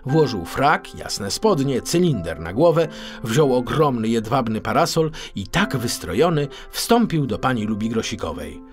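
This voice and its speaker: A male narrator tells a children's story with very lively intonation. His mid-pitched voice is expressive and plays over faint music. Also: a little slow. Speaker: audiobook narrator.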